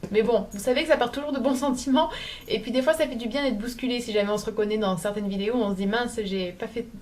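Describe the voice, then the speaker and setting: A woman's voice: a little high, fast talker, a little mellow. A woman with a slight southern French accent giving an informal, opinionated talk to viewers, emphatic and insistent, sometimes searching for her words. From southern France. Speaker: video blogger.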